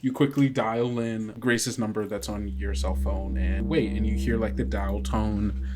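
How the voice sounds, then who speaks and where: A game master with a medium-pitched voice narrates a tabletop game. The delivery is improvised and halting, as if working out each detail aloud. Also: fairly even intonation, over loud music.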